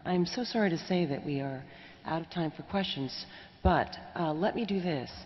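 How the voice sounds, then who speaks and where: Very low-voiced woman moderating. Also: moderate pace. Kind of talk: moderating a live forum. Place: large hall at a public forum. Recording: dull, reverberant hall recording.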